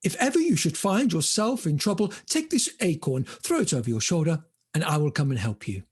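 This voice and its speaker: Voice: medium pitch, sing-song, fairly quick, slightly rough. A storyteller voicing a posh, proper little squirrel from a fairy tale, speaking gratefully.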